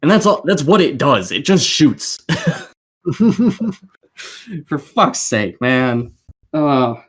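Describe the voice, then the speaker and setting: A man's voice: mid-range voice, very animated, slow, a bit bright. A man co-hosting a gaming podcast in a casual call, giving sweary, exasperated, emphatic opinions. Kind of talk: heated opinion rant in a casual group chat. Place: remote voice call over the internet.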